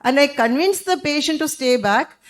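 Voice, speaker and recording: A woman with a naturally loud, high-pitched voice speaks as an obstetrician on a medical panel. She sounds frank and plain-spoken. She tells anecdotes from her own clinical practice. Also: lively intonation, moderate pace. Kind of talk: conference panel discussion.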